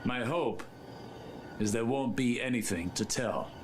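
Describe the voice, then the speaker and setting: A man playing a shrewd, guarded mentor in a game cutscene, measured and cryptic in delivery. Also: mid-range voice, background noise, lightly acted. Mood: calm, guarded. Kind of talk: scripted game cutscene acting.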